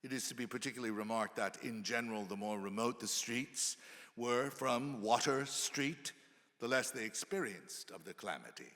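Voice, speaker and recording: In a reverberant hall, a man delivers a dramatic reading of a historical account. His voice is forceful and sharp at a middling pitch, and his phrasing is formal and oratorical, with weighted pauses. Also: expressive. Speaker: actor giving a dramatic reading. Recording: reverberant hall with long echo.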